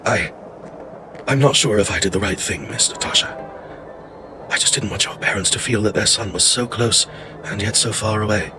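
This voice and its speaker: An actor playing a courteous, loyal older family retainer in a video game, speaking formally and deferentially. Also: male voice, lowish voice, moderate pace, pauses often, background noise, close to an everyday voice. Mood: apologetic, hesitant. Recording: music behind the voice. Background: music.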